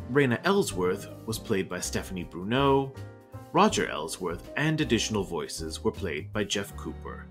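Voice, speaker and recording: A male announcer delivers scripted end credits. His slightly low voice moves with lively intonation, and plucked guitar plays faintly behind him.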